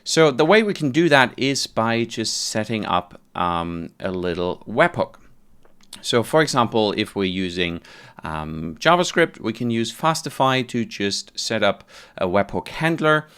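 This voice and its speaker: A man presenting a casual software tutorial, explaining things step by step in an informal, conversational way. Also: mid-range voice, sing-song, measured pace, smooth. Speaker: developer advocate presenting a tutorial.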